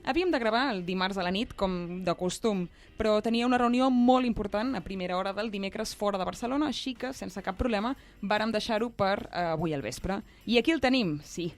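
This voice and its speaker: A woman's voice: mid-range voice, brisk, few pauses. A woman with a Catalan accent co-hosting a talk show, moving between prepared introductions and casual banter. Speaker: co-host.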